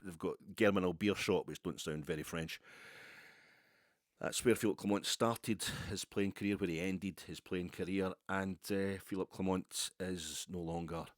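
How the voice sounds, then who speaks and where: Scottish male fan podcaster, low voice, very dry close sound, loose colloquial solo chat. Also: fairly even intonation, moderate pace, no room sound. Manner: rambling, wry, colloquial. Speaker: football fan podcast host.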